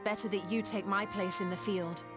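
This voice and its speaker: A woman's voice: mid-range voice, steady tone, normal speed, music in the background, natural voice. A woman voicing a composed, courteous and precise scholarly healer-mage in a video game, with formal, archaic phrasing. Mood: composed, considerate.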